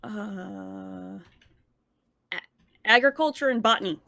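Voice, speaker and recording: A woman playing a forgetful, earnest young vampire in a tabletop game, stammering and halting as she talks. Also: medium pitch, gravelly, bright, forceful tone, muffled recording, exaggerated voice.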